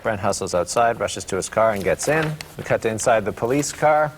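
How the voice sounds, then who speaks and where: A man's voice: on the low side, animated, a little fast. Man reading stage directions, echoey room. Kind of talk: scripted narration at a table read. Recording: reverberant room, faint background noise.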